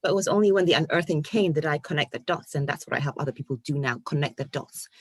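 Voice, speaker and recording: A woman from Ghana in her forties, an online course coach telling her story in an animated, casual way with restarts and fillers. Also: low voice, quick pace, husky, no echo. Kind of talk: casual interview chat.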